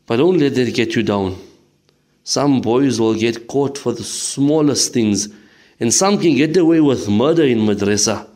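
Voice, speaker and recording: A man's voice: mid-range voice, darkish tone, close to an everyday voice. A man playing a stern but caring madrasa sheikh who lectures his student firmly, like a father. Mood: reassuring. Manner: firm, admonishing, fatherly. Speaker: teacher. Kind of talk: audio drama acting.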